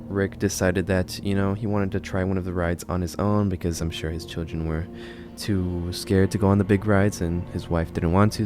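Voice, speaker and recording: A man's voice: deep voice, a little mellow. A man narrating a horror video essay in a conversational, storytelling way. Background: background music.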